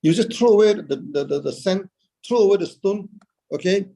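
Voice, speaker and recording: A man teaching Buddhism over a video call, in a halting way, filling gaps with "okay" and "uh" and answering his own questions. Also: sits high, expressive, gravelly, mellow. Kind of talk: dharma talk.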